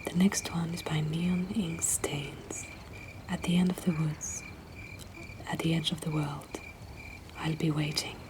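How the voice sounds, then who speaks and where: A woman reading poetry in a hushed, breathy whisper, with measured and deliberate delivery. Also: low-pitched, steady tone, background noise. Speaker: poetry reader and host.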